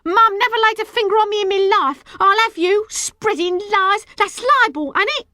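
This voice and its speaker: A woman voicing a feisty, indignant working-class girl in an audiobook, shouting and jeering in slangy speech. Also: extremely high pitch, normal speed, no echo, pitched above the performer's own voice. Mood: defiant. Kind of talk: audiobook character acting.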